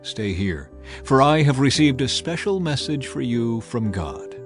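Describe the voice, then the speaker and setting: A man's voice: mid-range voice, big pitch swings, unhurried, soft background music. A man playing a commanding old prophet and seer in a dramatized scripture reading. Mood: instructing.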